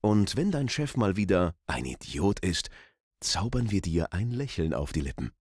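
A man voicing a friendly, smooth radio station promo announcer with deliberate emphasis, close on a studio microphone. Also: sits low, sing-song, darkish tone, no echo, heavily acted. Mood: friendly, upbeat. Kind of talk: scripted radio promo read.

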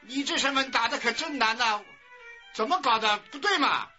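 Fussy old grandpa in a radio play, high sharp voice, blustery, kinda muffled audio. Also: male voice, normal speed. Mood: scolding, demanding. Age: older. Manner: loud, blustering, repetitive questioning. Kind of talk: Chinese radio drama acting. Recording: dull lo-fi audio with music.

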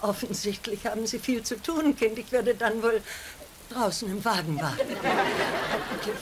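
A woman's voice: a little high, a little slow, some chatter behind, close to an everyday voice. An actress playing a fussy, guilt-tripping older mother, chatty and passive-aggressive, in a dubbed sitcom. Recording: very noisy TV sitcom soundtrack.

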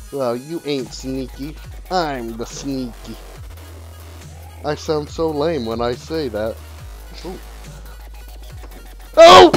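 A man casually commenting on his own gameplay, playful and laughing, with sudden excited yelps. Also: mid-range voice. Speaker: gaming channel host.

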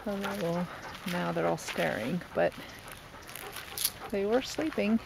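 A man casually narrating his hike outdoors, with relaxed, offhand comments on the scenery. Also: high-pitched, slow, gravelly. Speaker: travel vlogger. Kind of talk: casual narration while hiking. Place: outdoors on desert trails and in an orchard. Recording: noisy outdoor camera audio.

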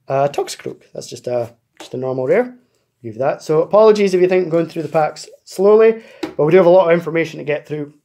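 A man's voice: on the high side, darkish tone. A man hosting a card-opening video, chatting casually as he opens packs and explains pre-orders, recorded at a table indoors. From United Kingdom. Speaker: card-opening channel host. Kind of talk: casual pack-opening chat.

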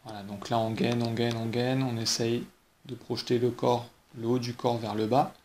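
A man's voice: medium pitch, little pitch movement, moderate pace, darkish tone, a little hiss or hum. Patient male ski coach explaining technique. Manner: patient, explains step by step with rhetorical questions. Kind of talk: instructional voice-over over slow-motion ski footage.

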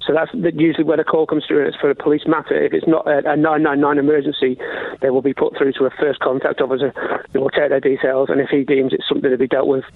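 A man with a fairly high voice speaks over a narrow, tinny phone line. He is a caller to a radio phone-in, explaining things in an earnest, insistent, conversational way. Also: flat delivery, fast talker, vocal fry, no room sound. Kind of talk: conversational explaining, defending a point.

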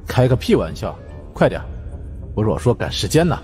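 A man's voice: lowish voice, big pitch swings, fairly quick, a little mellow, long reverb tail, faint music behind. A man voicing a sly, bossy team chief in an audio story. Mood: commanding.

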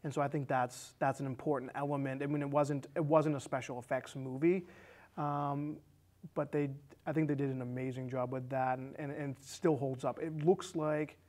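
A man's voice: mid-range voice, steady tone, moderate pace, a little mellow. A man in his thirties discussing film casually as a panelist, on a lapel mic in a small studio. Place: small indoor studio set with curtains.

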